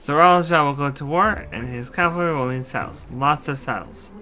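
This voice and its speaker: A man's voice: a little high, normal speed, pushed and bright, a little hiss or hum. A man voicing a shrewd, stubborn saddle maker in a video game, haggling brusquely. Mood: hard, unyielding. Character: shrewd, stubborn male saddle maker driving hard bargains. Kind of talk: amateur character voicing of game dialogue.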